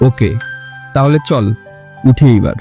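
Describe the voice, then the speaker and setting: Man acting a mellow, blunt young friend. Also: mid-range voice, animated, takes it slowly, creaky, faint music behind. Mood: prodding. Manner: blunt, prodding. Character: blunt young male friend questioning his pal. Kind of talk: Bengali audio story acting.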